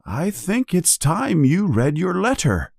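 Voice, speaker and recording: A man voicing a booming giant gamekeeper in a storybook, hot-tempered yet kindly, with an emphatic, exclaiming way of talking. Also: wildly swooping pitch, slow pace, gravelly, a little mellow, no room sound, clean studio sound, exaggerated voice. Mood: proud, warm.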